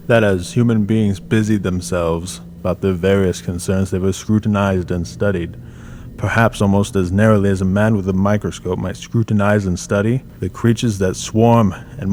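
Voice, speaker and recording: A man tells the story in a slightly low, measured and solemn voice. It is scripted radio drama narration, with a faint hiss running underneath. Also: a little mellow.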